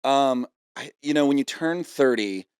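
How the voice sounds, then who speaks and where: Podcast guest, mid-thirties man, relaxed and rambling, middle pitch, dry close mic. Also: unhurried, smooth, no room sound. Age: 30s. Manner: laid-back, rambling with fillers. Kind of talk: casual chat. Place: home room.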